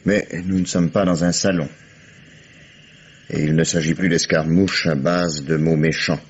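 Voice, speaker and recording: An actor playing a shrewd, calm police commissioner with a husky voice and dry ironic authority, in a 1950s-style French radio drama. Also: male voice, rumbling low, unhurried, full character voice. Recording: dull old lo-fi radio recording.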